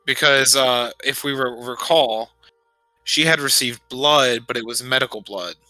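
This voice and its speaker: A man chatting casually as a player in a tabletop game, halting as he thinks aloud. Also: medium pitch, bright, forceful tone, dry recording, soft background music, poor audio. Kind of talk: casual chat.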